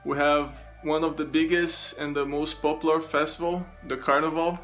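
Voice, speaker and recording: A man from Brazil recites a scripted introduction to his country at a steady pace. His voice is fairly high and stays flat. Soft guitar music plays behind him. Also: moderate pace.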